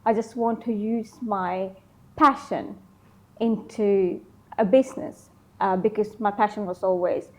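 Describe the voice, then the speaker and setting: A woman from Sri Lanka, in her thirties, answering interview questions casually, often pausing with ums and likes. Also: medium pitch, fairly even intonation. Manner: hesitant, many ums and likes. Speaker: interview guest, beauty business founder.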